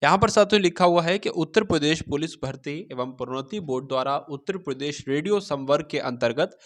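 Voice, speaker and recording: A man's voice: a little high, a little fast. A man reading out and explaining official notices for students in a didactic way, paraphrasing as he goes and checking in with listeners. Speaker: exam-prep instructor and channel host.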